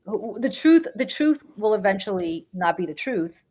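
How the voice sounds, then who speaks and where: Blunt, animated woman podcast host. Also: mid-range voice, normal speed. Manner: blunt, animated, repeats herself for emphasis. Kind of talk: casual political chat.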